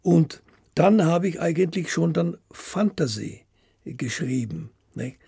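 An older man, a writer being interviewed, talking haltingly as he searches for words and often adding little tag questions. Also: on the high side, lively intonation, gravelly, warm tone, slightly rough, dry recording, studio quality. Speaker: radio play writer as interview guest. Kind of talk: unscripted interview chat.

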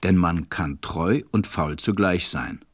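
A man's voice: low voice, slow, husky, dry recording, clean studio sound, full character voice. A man narrating a storybook audio drama from a script.

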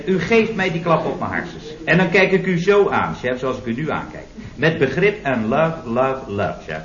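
An actor playing an earnest, preachy young idealist employee in a radio comedy, talking in unctuous slogans. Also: male voice, a little high, a bit bright. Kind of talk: late-1960s Dutch radio comedy acting. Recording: muffled, roomy, hissy vintage radio recording.

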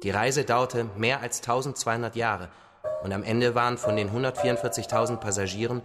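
An actor playing a patient, solemn father-like survivor who tells stories gently and with measured phrasing in a radio drama. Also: medium pitch, fairly even intonation, over loud music, close to an everyday voice. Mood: storytelling. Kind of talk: radio drama acting, storytelling.

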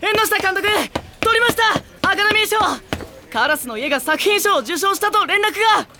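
とても高い声の元気な少年. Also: male voice, very high-pitched, brisk, very noisy. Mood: excited. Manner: breathlessly eager, animated. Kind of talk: anime comedy audio drama.

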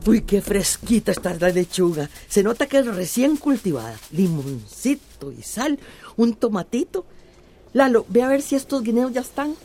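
A woman playing a gentle, homely older mother, soothing and grateful, in a radio drama. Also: fairly high pitch, big pitch swings, normal speed, faint music behind. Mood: contented.

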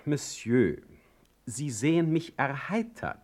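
An actor playing a witty, mocking poet in a German radio play, eloquent and ironic. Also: male voice, medium pitch, very animated, slow pace, darkish tone. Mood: biting, agitated. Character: witty, sardonic ailing male poet, dictating letters. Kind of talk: German radio play acting, alternating dialogue and letter narration.

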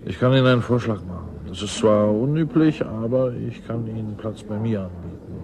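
A man's voice: lowish voice, a little fast, gravelly, background noise. An actor playing a wry, courteous male scientist in a radio drama, absorbed in his own theories and speaking thoughtfully. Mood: wry, businesslike. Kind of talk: German radio drama acting, naturalistic dialogue.

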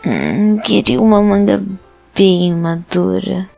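Slow, creaky-voiced Brazilian woman acting. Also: mid-range voice, animated, a little mellow, faint music behind. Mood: languid craving. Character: woman idly craving a ripe mango. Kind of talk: audio drama acting.

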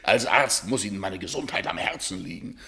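An actor playing a haughty, suspicious rich husband in a German radio crime drama, sardonic and condescending, speaking in deliberate phrases. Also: male voice, deep voice, pushed and bright, husky, full character voice.

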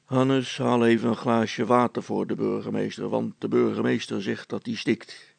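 A man's voice: fairly low pitch, flat delivery, moderate pace, gravelly, husky, no room sound, heavily acted. A man voicing an exasperated, wisecracking tinkerer in a children's story, grumbling in a dry, teasing manner.

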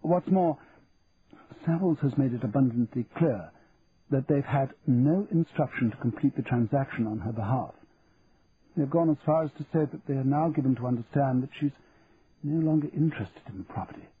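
A man's voice: medium pitch, normal speed, voice pushed up, lightly acted. A man voicing a pompous, easily affronted middle-aged male auctioneer in a stiff, formal manner, for an audiobook. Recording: tinny phone-like sound with faint hiss.

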